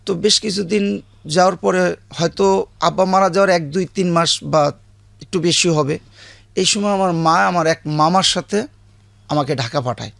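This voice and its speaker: A man with a rural Bangladeshi accent, a guest recounting childhood memories in a halting way, often correcting himself. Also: high-pitched, vocal fry, a bit bright, no echo.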